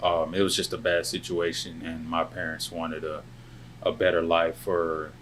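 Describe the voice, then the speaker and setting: A young college football player born in Lebanon to a South Sudanese family, answering interview questions in a soft, measured way. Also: male voice, sits low, flat delivery, a little hiss or hum. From born in Lebanon, family from South Sudan, raised in the US. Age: young adult. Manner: soft-spoken, measured, trailing off at phrase ends.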